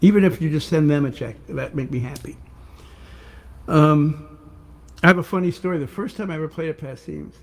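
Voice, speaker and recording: An older male singer-songwriter with a rough voice, chatting casually and rambling a little between songs. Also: mid-range voice. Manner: rambling, warm-hearted, restarts often. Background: guitar.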